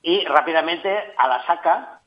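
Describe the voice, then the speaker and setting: A man's voice: a little high, measured pace. Man, book reviewer, eager, restarts often, dull radio sound. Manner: enthusiastic, frequent restarts. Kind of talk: casual radio book chat.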